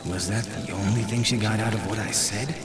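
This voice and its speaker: A man's voice: fairly low pitch, steady tone, a little fast, close to an everyday voice. A man acting a weary, exasperated sorcerer with a grudging, sighing delivery in a dramatised fantasy audiobook. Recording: music behind the voice.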